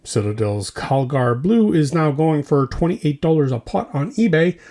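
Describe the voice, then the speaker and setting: A man's voice: on the high side, animated, moderate pace, dark tone. A man hosting a scripted mock news show, delivering it like a theatrical, mock-serious newscaster. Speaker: host of a hobby news show.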